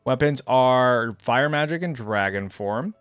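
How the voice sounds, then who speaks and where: A man's voice: mid-range voice, lively intonation, a little slow, a bit bright, smooth, no echo, faint music behind. A man giving casual reaction commentary as a video host, musing aloud in a rambling way.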